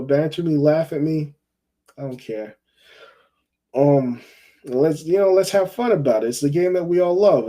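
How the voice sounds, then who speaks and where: A man's voice: fairly high pitch, mellow. A man from Jamaica hosting a fan call-in football show, talking in a chatty, rambling way and often repeating himself. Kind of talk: casual football chat.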